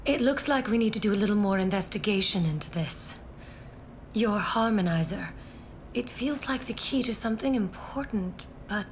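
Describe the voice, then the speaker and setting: A woman voices a grave, long-lived widow in a video game drama. Her mid-range voice is measured and solemn as she explains things. Faint noise sits behind her. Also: medium pitch, expressive, lightly acted. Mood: serious, measured. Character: grave widowed female survivor who has outlived her years. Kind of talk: English-dubbed video game cutscene acting.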